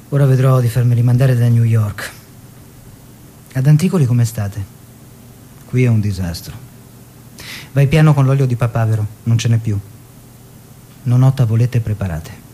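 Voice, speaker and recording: An actor playing a proud, stubborn, food-loving Italian painter husband in a stage-style drama, with an opinionated, fussy manner. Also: male voice, medium pitch, normal speed, pauses often, mellow, slight background noise, natural voice. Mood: weary, tender. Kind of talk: theatrical two-hander, letters and dialogue.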